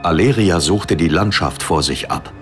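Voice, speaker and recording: A man's voice: sits low, expressive, normal speed, a bit bright, soft background music. A man reading scripted dramatic narration for a fantasy audiobook.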